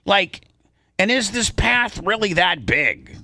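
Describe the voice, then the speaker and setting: A man's voice: high voice, sing-song, slow, pushed and bright, no room sound, clean studio sound. A man hosting a talk show in casual radio style, opinionated and repeating his points for emphasis.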